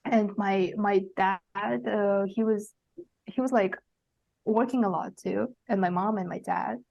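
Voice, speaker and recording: Young woman guest from Kazakhstan, warm mellow tone, rambling casual storytelling. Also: medium pitch, fairly even intonation, measured pace, gravelly, muffled recording. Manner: meandering, full of fillers and restarts. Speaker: podcast guest.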